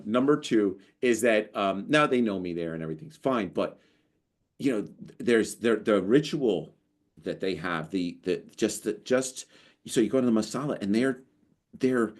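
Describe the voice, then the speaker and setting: American guy with a real low voice, chatty podcast co-host, keeps restarting mid-story. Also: normal speed. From United States. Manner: animated, storytelling, lots of restarts. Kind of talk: casual conversation.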